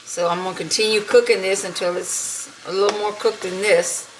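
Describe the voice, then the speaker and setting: A middle-aged woman talks through a recipe in a relaxed, homey way, pausing with little fillers as she works. Her pitch sits in an ordinary middle range. Clear frying and sizzling noise from the pan fills the home kitchen behind her. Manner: unhurried, homey, talks while cooking with many fillers. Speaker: cooking channel host. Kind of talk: casual cooking demonstration.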